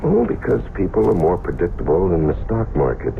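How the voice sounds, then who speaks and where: A man's voice: sits low, sing-song, brisk, vocal fry, slight background noise, heavily acted. A man playing an easygoing, wisecracking drifter in a 1970s radio drama. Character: easygoing adult drifter, lookalike of the husband. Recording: muffled old radio broadcast with music.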